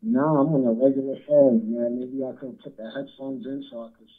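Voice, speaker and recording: A man with a New York accent and a husky, monotone voice, speaking casually as a phone interview guest over a muffled line. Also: medium pitch, fairly quick. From Brooklyn, New York. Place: at home on a phone. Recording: muffled phone line, faint music behind.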